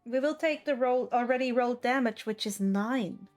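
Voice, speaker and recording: A woman's voice: on the high side, normal speed, darkish tone. A woman narrating and refereeing a tabletop roleplaying game as the game master. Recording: music behind the voice. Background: faint music.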